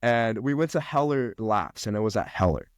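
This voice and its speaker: A man's voice: lowish voice, expressive, normal speed, dry recording, studio quality. A young man from China, a podcast guest chatting casually about college life, with lots of fillers and restarts. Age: young adult. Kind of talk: casual conversation.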